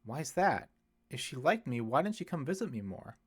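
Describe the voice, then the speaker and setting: A man's voice: mid-range voice, sing-song, moderate pace, breathy edge, voice pushed up. Man acting as young female witch in training, curious, blunt, casual, questioning, audiobook character.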